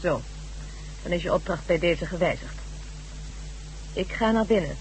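An actress playing a cool-headed, commanding woman doctor in a Dutch radio drama, speaking with brisk, assured authority. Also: on the low side, close to an everyday voice. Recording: noisy old radio drama recording.

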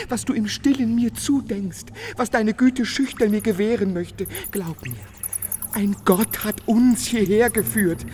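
An actor playing a passionate, tormented young painter in a radio drama, with declamatory, emotional, literary delivery. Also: male voice, sits high, darkish tone, a little hiss or hum. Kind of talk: German radio drama acting.

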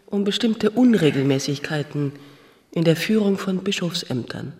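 An actress playing a cool, scheming medieval noblewoman in a radio drama, speaking in a measured, formal manner. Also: on the low side, animated, dark tone, close to an everyday voice. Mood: veiled threat. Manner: measured, formal, veiled menace. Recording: reverberant stone-hall sound.

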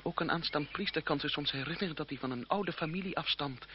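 Actor as high-voiced gallant young seminarian. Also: male voice, quick pace, lightly acted. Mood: proud, resolute. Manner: courtly, theatrical. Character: gallant, courteous, proud young French seminarian. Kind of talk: vintage Dutch radio play acting. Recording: dull, noisy old radio-play recording.

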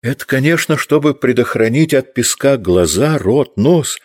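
A man narrating literary fiction for an audiobook in measured, deliberate phrases. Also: mid-range voice, animated.

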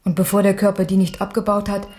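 An actress playing a senior hospital doctor in a German radio crime drama, dry, inquisitive and matter-of-fact. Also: female voice, medium pitch, monotone, quick pace, darkish tone, close to an everyday voice.

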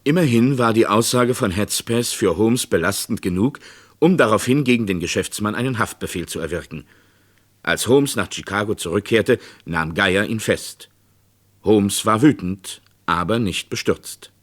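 Casting: male narrator, slightly low register, measured and factual, for a scripted true-crime radio drama. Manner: sober, measured, documentary-like.

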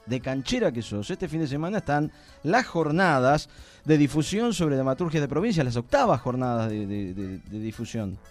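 A man's voice: medium pitch, expressive, moderate pace, soft background music. A man with an Argentine Spanish accent, hosting an informal radio chat in a colloquial, joking way. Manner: jokey, colloquial. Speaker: radio host.

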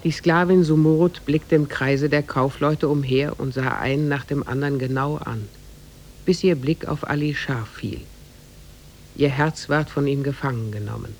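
A man playing a measured storyteller, narrating an old oriental fairy tale in a 1950s radio drama. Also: medium pitch, lightly acted. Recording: faintly noisy 1950s radio recording.

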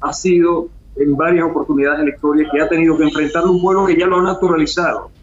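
A man's voice: fairly high pitch, steady tone, fast, roomy sound, dull audio, a little hiss or hum. A Venezuelan man with a Venezuelan accent, an international analyst speaking emphatically as a guest on a remote radio interview. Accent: Venezuelan Spanish.

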